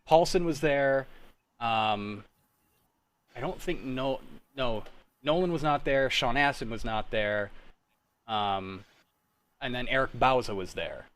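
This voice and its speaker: A man's voice: medium pitch, slow, pauses often, a bit bright, clean tone. A man in his thirties hosting a casual group chat, talking in an informal, rambling way and often interrupting himself. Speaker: host.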